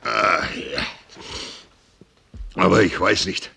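Gravelly old sea captain. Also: male voice, low voice, slow, bright, forceful tone, heavily acted. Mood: animated storytelling. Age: older. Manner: rambling, folksy storyteller, sprinkles in English words. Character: old sea captain, boisterous, tipsy, sentimental, shrewd. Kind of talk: radio play acting.

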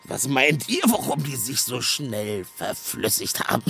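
An actor playing a stocky, hearty, talkative dwarf in a children's audio drama, with a gravelly, creaky voice and a blustery, excitable manner. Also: male voice, medium pitch, a little slow, faint music behind, exaggerated voice. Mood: chatty, blustery. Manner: blustery, excitable, colourful speech.

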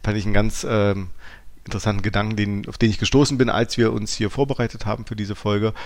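Gravelly, lowish male podcast host. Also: little pitch movement, fast talker. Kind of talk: reflective conversational philosophy talk.

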